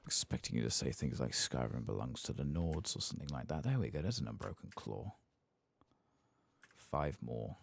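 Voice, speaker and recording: A soft-spoken man muttering casual ASMR commentary as he plays a game, with a husky, gravelly voice. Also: extremely low pitch, very animated, moderate pace, a little hiss or hum. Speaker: gameplay streamer.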